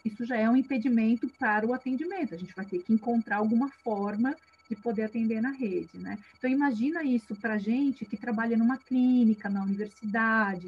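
A woman, a psychologist reflecting aloud in a panel discussion, hesitant and full of tag questions, heard over a video call. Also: on the high side. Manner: reflective, many hesitations and "né" tags. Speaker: psychologist in a migration project. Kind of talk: thoughtful spoken reply in a panel discussion. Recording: dull lo-fi video call audio with faint hum.

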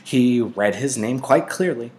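A man reading a novel aloud like a teacher to a class, with deliberate, dramatic phrasing. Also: mid-range voice, expressive, a little mellow. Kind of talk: expressive audiobook-style read-aloud narration.

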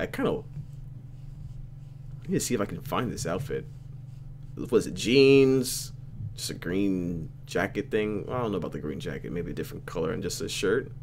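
A man's voice: lowish voice, sharp tone, slight background noise. A man reading a game aloud as a host, with casual narration and slangy, mock-exasperated asides. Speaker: let's play host reading a visual novel aloud. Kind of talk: casual gameplay commentary and read-aloud narration.

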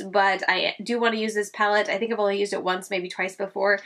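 A woman's voice: mid-range voice, fairly bright tone. A young woman with a stuffy, congested voice, chatting casually and rambling with fillers as she does a makeup tutorial at home, close to the camera. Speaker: beauty vlogger. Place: small room at home, indoors.

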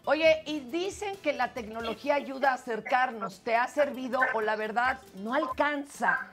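A woman's voice: high-pitched, moderate pace, loud music behind the voice. A middle-aged woman hosting a TV chat show in a studio, theatrical and exclaiming. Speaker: talk show host. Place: TV studio set.

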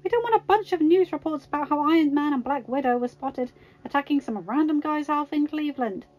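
Woman voicing a stern, high-pitched female secret agent. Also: animated, normal speed, lo-fi sound. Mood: exasperated. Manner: exasperated, bossy.

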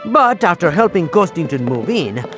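An actor playing an earnest, self-important undercover spy in a cartoon game, with formal, dramatic delivery. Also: male voice, fairly high pitch, very animated, moderate pace, music in the background. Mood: self-important, explaining. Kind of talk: video game cartoon acting.

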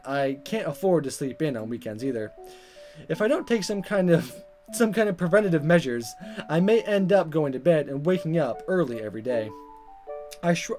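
A man reading a visual novel aloud as a let's player, in expressive narration with casual asides and stumbles that he corrects. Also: a little high. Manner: animated, stumbles over words and corrects himself.

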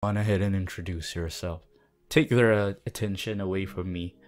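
A man voicing a deadpan, drawling teenage anime hero in an ASMR roleplay, languid and teasing. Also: sits low, moderate pace, lightly acted. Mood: reluctant, tired.

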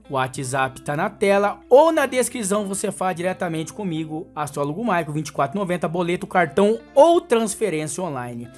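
A Brazilian man in his thirties reading a daily horoscope and pitching readings, with an affectionate, coaxing manner. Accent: Brazilian Portuguese. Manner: affectionate, calls listener "meu ser de luz". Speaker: astrologer.

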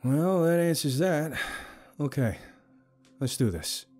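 Man as a wry young hero, animated. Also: on the high side, slow pace, slightly rough, faint music behind, voice pushed up, full character voice. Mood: sheepish. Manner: casual, sardonic.

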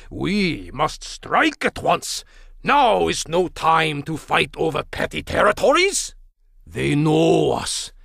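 Gravelly, wildly swinging deranged chef. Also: male voice, fairly high pitch, a bit bright, no echo, clean studio sound, exaggerated voice. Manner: theatrical, paranoid ranting. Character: deranged sushi chef obsessed with hunting octopus and fish. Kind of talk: video game character acting.

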